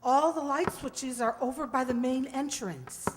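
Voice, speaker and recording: A woman's voice: high voice, measured pace. A woman playing an urgent, persistent amateur-sleuth wife in an old-time radio mystery, emphatic and pleading. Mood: anxious. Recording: echoey hall with long reverb.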